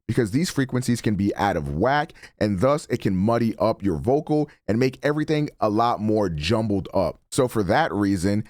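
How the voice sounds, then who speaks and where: Deep-voiced man explaining mic settings. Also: dry recording, clean studio sound. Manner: relaxed, chatty, self-correcting. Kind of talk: casual tutorial walkthrough. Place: home streaming setup. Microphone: studio broadcast mic.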